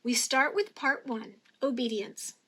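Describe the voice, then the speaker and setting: A woman's voice: sits high, unhurried, a bit bright, husky. A woman reading a picture book aloud expressively for young children, with careful, teacherly phrasing. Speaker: storybook reader.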